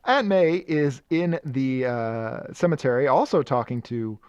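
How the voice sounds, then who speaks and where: A man's voice: mid-range voice, expressive, measured pace, clean tone, no echo, dull audio. A man from Michigan co-hosting a casual comic-book podcast, rambling and joking as he recaps. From Michigan, USA. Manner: rambling, self-correcting, joking. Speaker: podcast co-host.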